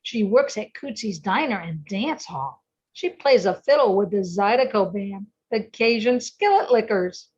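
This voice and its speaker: An older woman playing a determined bayou short-order cook and fiddler, with a husky voice, in a radio play. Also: mid-range voice, animated, a little slow, darkish tone. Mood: matter-of-fact introduction. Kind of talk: amateur radio drama reading. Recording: dull, muffled lo-fi sound.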